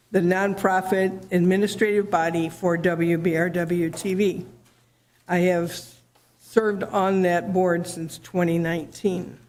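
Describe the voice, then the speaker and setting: A woman who is board president introducing members and staff at a public meeting, with a halting delivery and frequent fillers. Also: lowish voice, darkish tone. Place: township meeting room.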